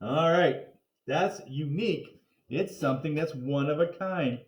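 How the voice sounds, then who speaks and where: A man's voice: on the high side, a little slow, lo-fi sound, natural voice. Man acting a woman second-grade teacher, cheerful, scatterbrained, upbeat classroom storybook delivery, echoing room. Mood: instructive. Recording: roomy, echoing sound.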